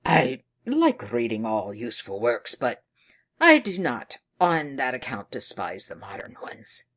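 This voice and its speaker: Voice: medium pitch, wildly swooping pitch, a little slow, fairly bright tone, full character voice. Stuffy, bewildered counselor put on by a narrator. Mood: pompous, curious. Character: stuffy, bewildered male counselor, learned and anxious.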